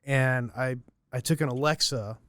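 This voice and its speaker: A man's voice: medium pitch, fairly even intonation, moderate pace, fairly bright tone, no echo. A man co-hosting a podcast, telling nerdy stories with eager enthusiasm. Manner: nerdy, enthusiastic tinkerer who tells stories. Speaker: podcast co-host. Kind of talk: casual chat.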